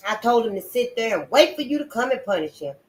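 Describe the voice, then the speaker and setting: A woman's voice: fairly high pitch, very animated, fast talker, voice pushed up. A woman voicing a scowling mistress of the house who shrilly accuses a boy of theft. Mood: accusing.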